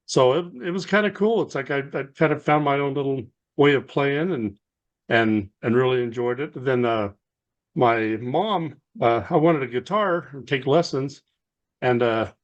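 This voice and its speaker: A middle-aged man, a musician guest with a low voice, telling stories in a relaxed, rambling way with lots of fillers. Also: lo-fi sound. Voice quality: lower voice. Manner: rambling storyteller, many fillers and restarts. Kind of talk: casual interview storytelling.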